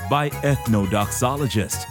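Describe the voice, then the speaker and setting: A man's voice: mid-range voice, unhurried. A man reading a scripted programme announcement. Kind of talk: scripted intro and outro announcement. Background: music.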